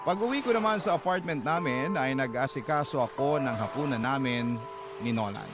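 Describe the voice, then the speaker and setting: A man's voice: medium pitch, lively intonation, normal speed, background noise. A man with a Filipino accent giving dramatic, scripted first-person narration for a radio drama. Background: music bed.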